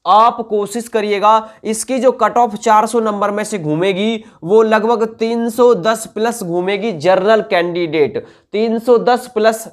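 A man's voice: sits high, unhurried, few pauses. A young man speaks like a coaching teacher addressing students on camera. He explains things informally but emphatically. He restates key points and often checks that he is being followed. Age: 20s. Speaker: exam coaching YouTuber. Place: indoor room with whiteboard.

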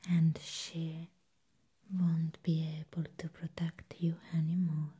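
Woman acting a slow whispering vampire nurse. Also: low voice, completely flat delivery, mellow, full character voice. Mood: menacing, hypnotic. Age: young adult. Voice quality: breathy whisper.

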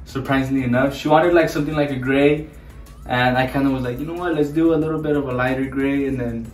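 A man's voice: medium pitch, steady tone, fast talker, recorded from a distance, soft background music. A man, chatting casually on a house tour and slipping Spanish words into his English. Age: 20s. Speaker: vlogger. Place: indoors, small bathrooms and bedrooms of a house. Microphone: handheld camera or phone held by another person, a few feet away. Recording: roomy echo, voice away from the mic.

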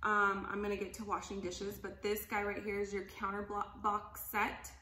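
A woman's voice: medium pitch, completely flat delivery, normal speed, bright, forceful tone, roomy sound, a little hiss or hum. A woman in her thirties chatting enthusiastically as she demonstrates cleaning products, laughing often, in a casual home video. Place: living room, indoors, at a table.

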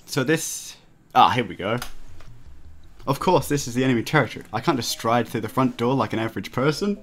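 A man's voice: mid-range voice, lively intonation, brisk, a bit bright, a little hiss or hum. A man hosting a let's play, reading a visual novel aloud with casual asides and stumbling over the text. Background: game music.

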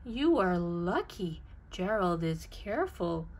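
A small, cautious pig from a children's storybook, acted by a woman. It frets and hesitates anxiously, speaking slowly with lively swings in pitch. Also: slight background noise, heavily acted. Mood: worried.

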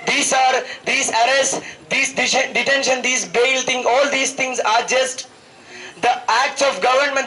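A man in his twenties with an Indian accent, a student activist making an impassioned political speech, haltingly and repeating phrases for emphasis into a handheld microphone. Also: very high-pitched, pushed and bright, low-quality recording. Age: 20s. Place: outdoors at night, public gathering. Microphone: handheld microphone held close to the mouth.

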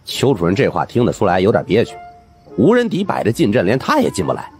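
A man with a husky voice narrating a supernatural mystery audiobook in a dramatic scripted style. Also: on the low side, wildly swooping pitch, fast talker, darkish tone, faint music behind.

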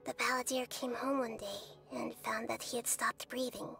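A gentle young goddess narrating. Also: female voice, a little high, normal speed, creaky, music in the background. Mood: calm. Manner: calm, gentle storytelling. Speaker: narrator of memories. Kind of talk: game voice acting.

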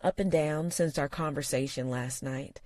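Narrator doing an anxious young woman, quick nervous speech, dry sound. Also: mid-range voice, expressive, darkish tone, no echo.

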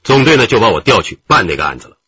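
Husky low-voiced veteran police chief. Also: male voice, very animated, sharp tone, no room sound, exaggerated voice. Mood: animated recounting. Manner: chatty, deliberate, with drawn-out interjections. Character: veteran male police detective chief, genial, reminiscing, modest. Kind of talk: radio drama acting. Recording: muffled, dull radio drama recording.

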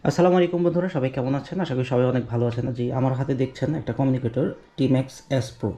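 A man's voice: medium pitch, fairly even intonation, racing, over music. A man with a Bangladeshi accent talking casually to viewers as a motorbike vlogger, explaining things in a hesitant way. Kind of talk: casual vlog talk to viewers. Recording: roomy echo, clearly noisy background.

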